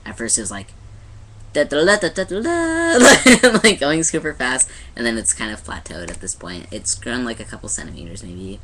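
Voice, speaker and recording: A man's voice: medium pitch, sing-song, normal speed, bright, forceful tone. A young man talking casually to his camera in a personal video diary, rambling with many restarts and fillers. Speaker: vlogger. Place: indoors in front of a light.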